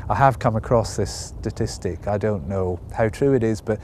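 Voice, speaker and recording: A man with a warm, low voice explains in a loose, conversational way, leaning on soft fillers as he goes. A faint rumble sits under the recording. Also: normal speed. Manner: conversational, hedging with "you know" and "um". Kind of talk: explanatory talk.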